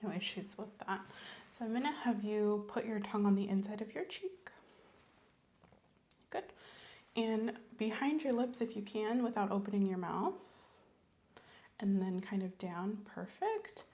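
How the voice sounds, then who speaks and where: A woman doing an ASMR doctor roleplay, soft-spoken, gentle and instructive, often slipping into whispers. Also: medium pitch, animated, unhurried, long pauses. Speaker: ASMR performer role-playing a doctor.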